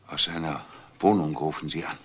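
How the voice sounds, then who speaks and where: An older man acting a shaken, grieving uncle in a crime drama, speaking in a halting, incredulous way. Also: very deep voice, steady tone. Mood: stunned. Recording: echoey room with faint background noise.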